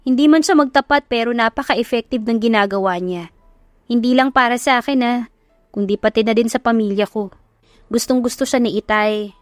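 A woman's voice: on the high side, a little fast, no room sound, clean studio sound, soft background music, lightly acted. Woman playing worried, lovestruck young woman. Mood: worried, tender. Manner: earnest, worried, confiding. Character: lovestruck, doubtful young woman from humble background.

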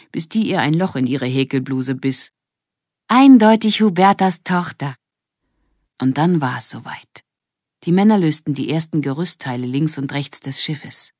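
A woman's voice: low voice, a little mellow, breathy edge, no room sound, clean studio sound. A woman narrating a children's audiobook in a scripted storytelling style.

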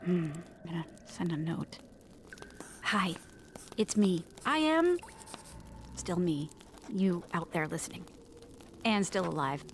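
A woman playing a fussy, chatty castaway in a comedic audio drama, expressive, breezy and rambling. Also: on the low side, slow, long pauses, a little hiss or hum. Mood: chatty, confiding. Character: fussy, chatty woman stranded in another world. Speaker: podcast narrator character.

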